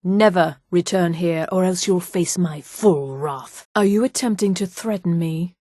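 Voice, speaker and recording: A woman voicing a haughty high elf in a video game, speaking in a formal, measured, imperious way. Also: mellow, no echo, clean studio sound. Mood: cold, menacing. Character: haughty female high elf mage and guard. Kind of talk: video game character dialogue.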